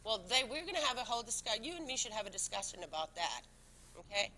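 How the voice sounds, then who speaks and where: A woman's voice: on the high side, sing-song, quick pace, bright, forceful tone, a little hiss or hum. A woman serving as town commissioner, speaking English as a second language, who talks emphatically and defensively with many restarts at a public board meeting. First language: not English. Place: town council meeting room.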